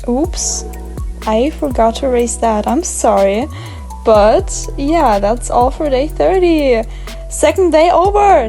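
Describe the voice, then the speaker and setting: Young German-accented woman, high and bright, rambling casual vlog voice-over over light music. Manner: bubbly, rambling, giggly. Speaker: art vlogger.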